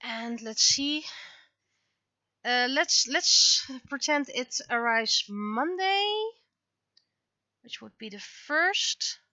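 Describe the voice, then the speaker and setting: A bright-voiced woman thinks aloud on a casual art livestream, speaking slowly and haltingly, half to herself. The sound is very dry and a little dull. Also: sits high, expressive, no echo. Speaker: livestreaming webcomic artist. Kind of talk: casual livestream chat while working.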